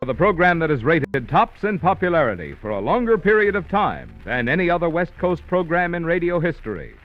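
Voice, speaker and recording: Man, old radio announcer, booming, wildly swinging pitch, dull dated recording, faint music. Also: big pitch swings, fairly quick. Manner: booming, sweeping announcer delivery.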